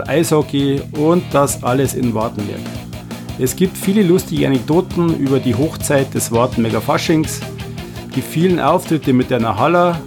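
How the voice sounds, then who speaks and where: A man with a Bavarian accent presenting a podcast introduction as its co-host. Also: mid-range voice.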